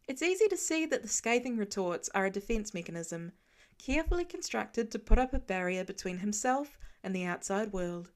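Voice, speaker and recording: A woman narrating a fan story aloud in an expressive storytelling manner. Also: medium pitch, normal speed. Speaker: podfic reader. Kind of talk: audiobook fan reading.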